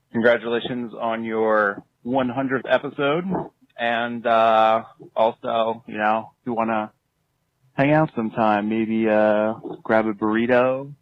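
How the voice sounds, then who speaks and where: Man, lowish voice, halting and deadpan casual message, dull lo-fi recording. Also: dry recording. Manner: hesitant, awkward, deadpan, trailing off. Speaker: guest leaving a congratulatory message.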